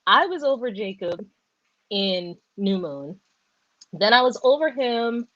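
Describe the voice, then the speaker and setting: A woman hosting a casual book discussion, talking in animated, rambling runs and correcting herself as she goes. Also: on the high side, slow pace, dry recording, lo-fi sound. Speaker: podcast host.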